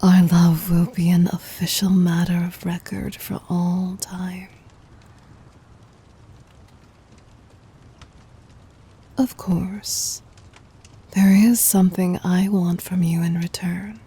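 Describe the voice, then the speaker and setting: A woman playing a regal, adoring demon queen in an intimate ASMR roleplay, whispery and breathy, speaking formally with tender command. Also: on the low side, flat delivery, slow, dark tone, full character voice. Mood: tender, devoted.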